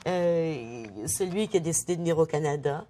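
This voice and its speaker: Low mellow woman host, lots of oui oui, keeps the chat going. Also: lively intonation. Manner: warmly affirming, many quick agreements. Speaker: talk show host. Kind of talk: conversational interview.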